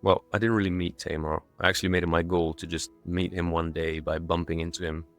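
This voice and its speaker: Low-voiced young man narrating over music. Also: level tone, fast talker, a bit bright. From born and raised in Dubai in a Croatian family, moved to England as a child. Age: 20s. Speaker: video creator. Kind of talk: scripted personal narration.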